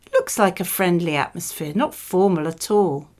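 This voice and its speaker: A woman with a slightly low-set voice reads model answers for an English speaking test. She is a native speaker and sounds conversational though scripted. Her delivery is measured and explanatory. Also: big pitch swings, darkish tone.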